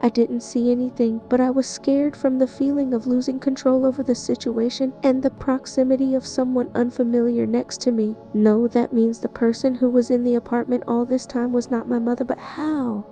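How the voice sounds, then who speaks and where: A woman narrating a first-person horror story in a tense, even manner. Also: on the high side, moderate pace, hardly any pauses, soft background music. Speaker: narrator playing the listener's character.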